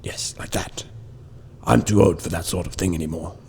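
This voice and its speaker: A man playing a wise, secretive old prisoner in an amateur radio drama, speaking in a hushed, measured way. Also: sits low, steady tone, gravelly, whispered. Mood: calm, secretive.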